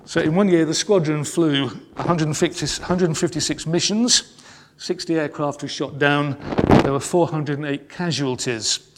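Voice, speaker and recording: A man recounts history in the slow, solemn cadence of a sermon, pausing and slowing on key phrases. His voice sits slightly high for a man. The sound carries a long reverb, as in a large church. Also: background noise. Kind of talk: sermon-style storytelling.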